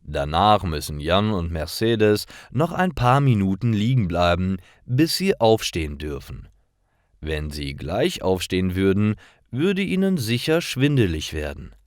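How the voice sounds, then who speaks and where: Low-voiced, slow-paced male storyteller. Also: sing-song, dry recording, clean studio sound. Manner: careful, deliberate reading. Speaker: narrator of stories for language learners. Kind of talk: scripted storytelling narration for learners.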